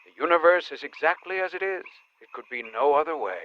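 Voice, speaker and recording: An actor playing a serene New Age company boss in an audio comedy, airy and placid, over a phone filter. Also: male voice, fairly high pitch, animated, a little fast. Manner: airy, placid, pseudo-spiritual. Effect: phone call filter. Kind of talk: comedic audio drama acting. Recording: dull phone-call sound.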